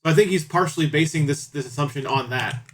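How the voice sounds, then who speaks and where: Male streamer, lightly high voice, casual and teasing, playing a visual novel for chat. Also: fast, slight background noise. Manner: playful, bantering with viewers. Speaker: livestream host reading out game and chat choices.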